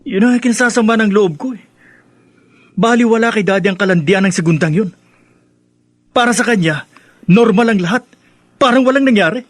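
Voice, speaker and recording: An actor playing an angry, bitter young adult son in a melodramatic Filipino radio drama, with a confrontational delivery and a Filipino accent. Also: male voice, high voice, brisk, pauses often, no echo, clean studio sound. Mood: bitter, aggrieved.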